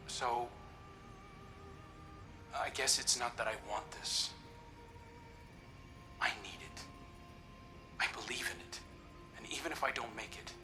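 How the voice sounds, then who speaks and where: A man's voice: medium pitch, lightly acted. A man playing an earnest, hopeful young prince and devoted brother in a video game, speaking with heartfelt intensity. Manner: heartfelt, intense, breathy at times. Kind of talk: dramatic game dialogue.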